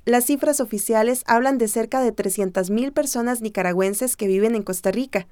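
Clear, smooth female radio news narrator. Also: a little high, fairly quick, a little mellow, no room sound. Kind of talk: scripted narration.

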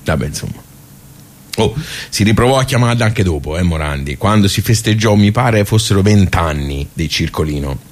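Radio play: grumbling Tuscan social-club old-timer, low voice, halting, nostalgic. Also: male voice, lively intonation. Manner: rambling, halting, muttering asides. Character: grumbling, simple, nostalgic ageing regular of a village social club. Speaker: actor in a radio theatre monologue. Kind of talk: colloquial theatrical soliloquy.

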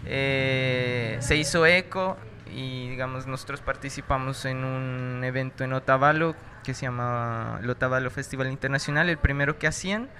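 Bright-voiced hesitant male musician. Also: medium pitch, moderate pace, few pauses, a little hiss or hum. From Pasto, Colombia. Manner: hesitant, searching for words. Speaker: band musician. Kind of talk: casual interview answers.

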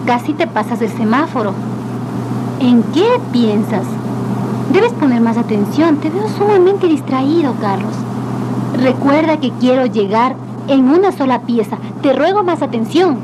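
Woman acting a high-voiced, watchful, scolding wife. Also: very animated, measured pace. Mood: scolding concern. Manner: questioning, admonishing. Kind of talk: Spanish-language radio drama acting. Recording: noisy 1990s radio drama with vehicle sounds.

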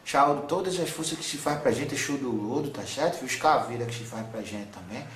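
A man's voice: mid-range voice, animated, brisk, fairly bright tone, echoey room, natural voice. A man speaking as a wise, tender old grandmother spirit in a spiritual counselling session, soothing and calling the listener son again and again. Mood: gentle. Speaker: spirit medium.

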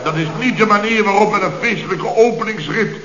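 An actor playing an indignant, sarcastic building-firm boss in a Dutch radio comedy from around 1970, with blustering, emphatic delivery. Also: male voice, high-pitched, brisk, crowd noise, lightly acted. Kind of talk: late 1960s Dutch radio comedy acting. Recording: roomy, dull old radio recording.

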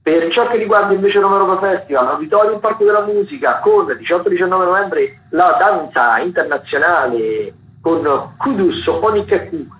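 A man's voice: sits high, animated, normal speed. Echoey lo-fi radio sound, man, theatre listings host, informal, hesitant, self-correcting, chatty asides. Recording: roomy, dull lo-fi radio broadcast sound.

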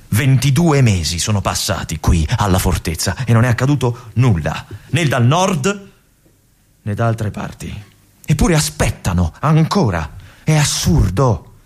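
An actor playing a young army lieutenant at a remote fortress in a theatrical radio monologue, introspective and questioning himself, sometimes dropping to a whisper. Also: male voice, mid-range voice, a little mellow, slightly rough, exaggerated voice. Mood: brooding.

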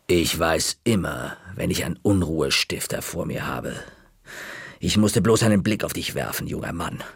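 A man voicing a stern older female librarian who speaks slowly, low and with quiet menace in an audiobook reading. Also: expressive, vocal fry, slightly rough, no room sound, clean studio sound, heavily acted. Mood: menacing. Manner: slow, low, quietly threatening.

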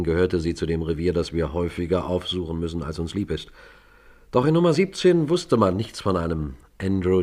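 Low-voiced methodical police sergeant. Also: male voice. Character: Scotland Yard police sergeant narrating his investigation, methodical and businesslike. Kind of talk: German radio crime drama, narration and dialogue.

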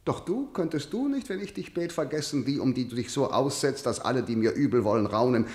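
A man's voice: mid-range voice, animated, fairly quick, a little mellow, lightly acted. An actor playing an aging, domineering king in a verse radio play, commanding and scornful. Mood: pleading. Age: older. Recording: roomy studio echo.

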